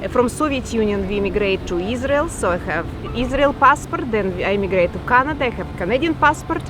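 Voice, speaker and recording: A woman's voice: a little high, expressive, noisy background. Woman with a Russian-Ukrainian accent. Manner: halting non-native English, laughs softly. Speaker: street interviewee.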